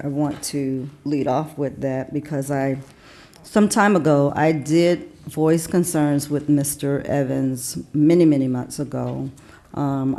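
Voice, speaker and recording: A woman board member making measured remarks at a public meeting, pausing on "um". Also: very deep voice, warm tone. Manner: measured, hesitant with "um". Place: council chamber.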